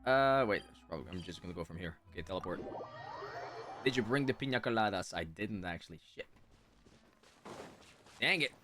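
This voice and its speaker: A man's voice: fairly low pitch, expressive, fairly quick, long pauses, sharp tone. A man giving casual live game commentary, musing aloud and joking in a chatty way. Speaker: game streamer. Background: game music.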